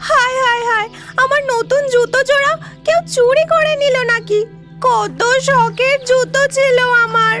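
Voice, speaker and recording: A woman voicing a fretful young cartoon bride, shrill and whining in lament. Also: fairly even intonation, a little slow. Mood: wailing dismay. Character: fretful young bride wailing over lost shoes. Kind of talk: cartoon story voice acting. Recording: loud music over the voice.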